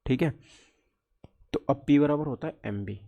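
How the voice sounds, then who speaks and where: A man's voice: medium pitch, moderate pace, creaky, dark tone, lo-fi sound. A man teaching an exam lesson conversationally, often asking questions and answering them himself. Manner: conversational, asks and answers his own questions. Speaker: physics teacher, exam coach.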